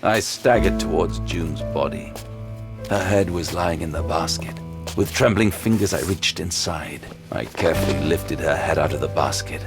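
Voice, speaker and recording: An actor playing a haunted, wounded detective, narrating a grim horror audio drama with grave intensity. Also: male voice, low-pitched, moderate pace, gravelly. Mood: grieving, exhausted. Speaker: narrator and lead character. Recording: loud music bed throughout.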